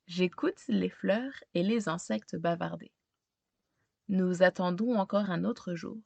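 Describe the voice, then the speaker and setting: A woman reading a children's picture book aloud expressively, pausing to put simple questions to young listeners. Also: on the low side, gravelly, dark tone, slightly rough, no room sound. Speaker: storytime reader.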